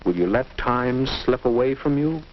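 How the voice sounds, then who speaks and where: A man's voice: medium pitch, expressive, moderate pace, close to an everyday voice. A man playing a guiding, didactic American narrator in a 1950 instructional film, on a dull, noisy old soundtrack. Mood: questioning. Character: guiding, fatherly narrator advising a teenage boy.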